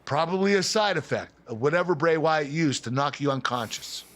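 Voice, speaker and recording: Considerate manager, slightly high-pitched. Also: male voice, lively intonation, brisk, a bit bright. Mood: sympathetic, serious. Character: considerate, businesslike male wrestling show manager. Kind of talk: video game cutscene acting.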